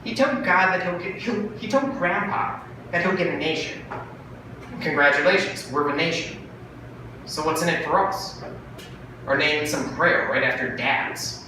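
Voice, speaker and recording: A man voicing a fast-talking, wheedling brother pitching a bargain in a dramatic monologue from a short story. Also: lightly acted. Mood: earnest, bitter. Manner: salesman-like, cajoling, animated. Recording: very echoey room, distant mic, noisy.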